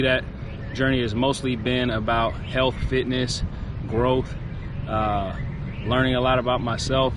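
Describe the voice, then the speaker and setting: A young man, talking to the camera outdoors in a rambling, reflective way with many fillers. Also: mid-range voice. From East Bay Area, California. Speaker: fitness vlogger. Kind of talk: casual vlog talk to camera. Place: outdoors by a city convention center. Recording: outdoor street noise, cars passing. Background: city traffic noise.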